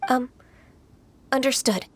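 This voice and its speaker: A woman playing a gentle, earnest young half-divine archer in a video game. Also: sits high, takes it slowly, noisy background. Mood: meek, earnest. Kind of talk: English video game voice acting. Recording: loud game music behind. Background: loud music, battle crashes.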